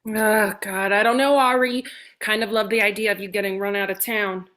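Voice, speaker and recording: A woman reading a jaded, blunt female TV star in a comedy script, with a deadpan, sarcastic delivery. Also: mid-range voice, moderate pace, natural voice. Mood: dry, dismissive. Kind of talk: comedy script table read.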